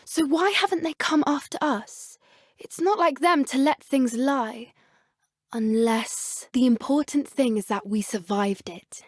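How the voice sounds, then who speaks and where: A woman with a British accent playing an earnest, gentle teenage witch student in a video game, soft-spoken and politely phrased. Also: high-pitched, measured pace, slightly rough, no room sound, studio quality. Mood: concerned, determined. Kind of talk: video game character dialogue.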